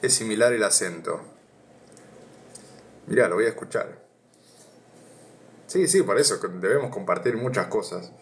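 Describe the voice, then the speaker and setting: A man's voice: on the low side, expressive, normal speed, pauses often. An Argentine man with a Rioplatense accent, reading philosophy aloud on a live stream and chatting with viewers, thinking aloud with many hesitations. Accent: Argentine Rioplatense Spanish. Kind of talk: casual live reading and chat.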